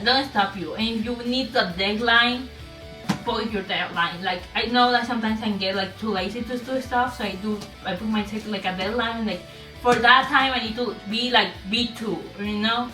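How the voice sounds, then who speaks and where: Woman with a thick foreign accent rambling to camera, lots of likes and restarts, bright tone, echoey room a bit off mic. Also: mid-range voice, recorded from a distance. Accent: non-native English. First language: not English. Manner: rambling, many fillers and restarts, laughs often. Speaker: vlogger. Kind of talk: casual talk to camera.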